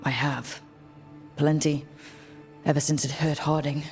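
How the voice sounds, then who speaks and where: A determined female adventurer leading a party in a fantasy game. Her voice is very low, her delivery direct and level-headed, with music playing underneath. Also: flat delivery, measured pace, darkish tone. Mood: skeptical. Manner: direct, questioning. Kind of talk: video game dialogue.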